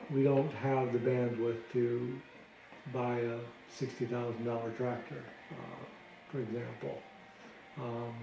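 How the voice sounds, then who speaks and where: Middle-aged American man, mellow, slightly echoey room. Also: medium pitch. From Detroit, United States. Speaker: interviewee, ministry founder. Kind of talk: informal interview answers. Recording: roomy echo with clear background noise.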